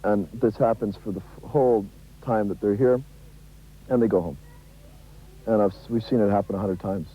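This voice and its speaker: A man's voice: on the low side, moderate pace, pauses often, slightly rough. A man giving an interview answer in a wry storytelling way. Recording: slightly dull broadcast audio.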